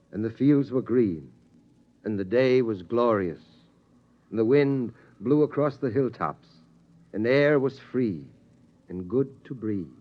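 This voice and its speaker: A man playing a weary, steady father who tells his family how the world ended, in slow, solemn 1950s radio drama style. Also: medium pitch. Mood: reverent. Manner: slow, solemn storytelling cadence. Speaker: father telling the family story. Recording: dull 1950s radio broadcast sound.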